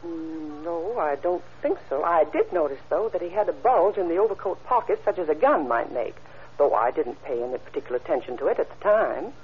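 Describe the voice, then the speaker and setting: Lively, careful female witness. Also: deep voice, brisk, husky, heavily acted. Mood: helpful, careful. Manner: careful, matter-of-fact, helpful. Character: observant, obliging female mortician giving witness testimony. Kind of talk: old-time radio crime drama acting. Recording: muffled old radio recording, background hiss.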